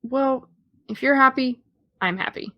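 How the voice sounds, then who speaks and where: A fairly high voice, recorded dry: a young woman playing a guarded American teenage girl. She is wry and understated, with a dry wit, in natural audio drama dialogue. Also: measured pace, no echo, dull audio. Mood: guarded, concerned. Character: guarded, dryly witty, particular teenage girl.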